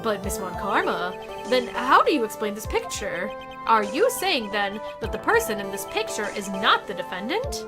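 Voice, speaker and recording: A woman voicing an earnest young male defense attorney, dry and politely sarcastic, with loud game music underneath. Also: mid-range voice, big pitch swings, normal speed, lightly acted. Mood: pressing.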